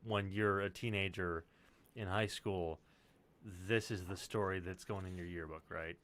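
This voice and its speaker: A man's voice: deep voice, fairly even intonation, a little slow, fairly bright tone. A male podcast host asking loose, rambling questions and searching for words, swearing casually. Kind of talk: casual interview chat.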